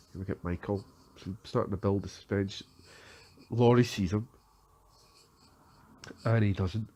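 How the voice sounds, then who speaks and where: Low-voiced hesitant man with a cold. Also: a little mellow. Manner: hesitant, many fillers and restarts. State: has a cold. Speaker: podcast host giving film commentary. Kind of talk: unscripted film commentary.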